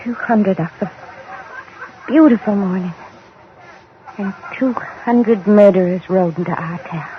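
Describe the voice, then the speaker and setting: An actress in an old-time radio drama playing a grieving, haunted widow in her thirties who speaks haltingly and emotionally. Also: medium pitch, close to an everyday voice. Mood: anguished, haunted. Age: middle-aged. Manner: halting, emotional, repeating phrases. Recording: muffled old radio recording, faint noise.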